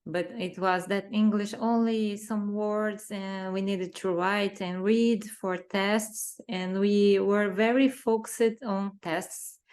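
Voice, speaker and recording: A woman's voice: mid-range voice, fairly even intonation, slow pace, hardly any pauses, a little mellow. A woman with a Brazilian Portuguese accent, a native Portuguese speaker answering interview questions in careful English, searching for words as she goes. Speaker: interview guest.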